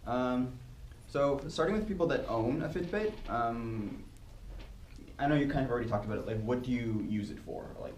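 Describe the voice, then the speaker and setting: A twenty-year-old man casually moderating a student focus group, relaxed and chatty, laughing easily. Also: mid-range voice, moderate pace. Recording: echoey room, mic at a distance, faint hiss.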